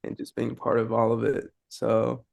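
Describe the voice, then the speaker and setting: A man's voice: mid-range voice, completely flat delivery, normal speed, gravelly. A man chatting as a filmmaker guest in an interview, hesitant and often repeating words. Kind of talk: casual chat.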